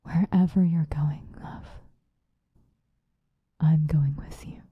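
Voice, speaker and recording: A woman playing an obsessive, possessive lovesick woman in an intimate, whispered ASMR roleplay, with a breathy voice. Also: deep voice, steady tone, no echo, dull audio, full character voice. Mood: possessive, resigned. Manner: slow, intimate, pleading.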